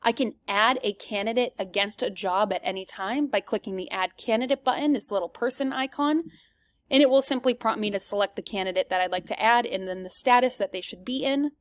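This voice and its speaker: A woman giving a semi-scripted product demo as a presenter, explaining each step clearly and addressing viewers directly. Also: mid-range voice, a little fast, no echo.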